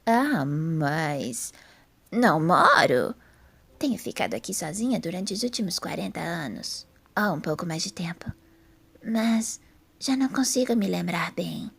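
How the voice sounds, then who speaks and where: Frail elderly lady, played by a woman narrator: soft, breathy, gentle, drowsy, for audio fiction. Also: fairly low pitch, sing-song, heavily acted. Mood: wistful, vague. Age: older. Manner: slow, serene, forgetful. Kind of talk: audio drama character voice.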